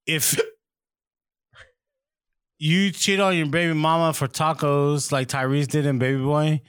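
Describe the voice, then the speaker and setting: A man ranting in casual chat, blunt and profane, stumbling over his words as he gets going. His voice sits a bit high. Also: steady tone, a little slow, fairly bright tone, clean tone. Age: adult, married. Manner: profane, ranting, stammers when worked up.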